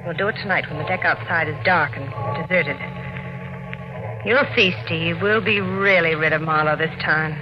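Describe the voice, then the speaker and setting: A woman's voice: low voice, normal speed, music in the background, natural voice. An actress playing an anxious, scheming woman in an old-time radio suspense drama, speaking with urgent, dramatic persuasion. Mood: conspiratorial. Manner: dramatic, urgent pleading and reassuring. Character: anxious but scheming woman, coolly plotting a cover-up. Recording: muffled, noisy old radio recording.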